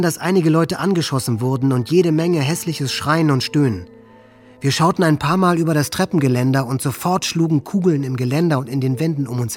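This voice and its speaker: A man's voice: on the high side, close to an everyday voice. Disturbed teenage boy played by a man over music. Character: disturbed, hate-filled teenage male school shooter. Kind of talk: German audio drama monologue.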